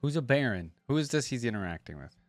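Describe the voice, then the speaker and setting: A man's voice: medium pitch, very animated, fast, no echo, faint music behind. A man hosting a reaction video, chatting informally and slangily as he muses aloud. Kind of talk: casual chat, reacting to game voice lines.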